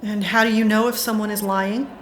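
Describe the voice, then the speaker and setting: A woman's voice: medium pitch, flat delivery, fairly quick, natural voice. A woman playing a blunt, exasperated aunt with a wry delivery in an audio drama. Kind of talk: scripted audio drama acting.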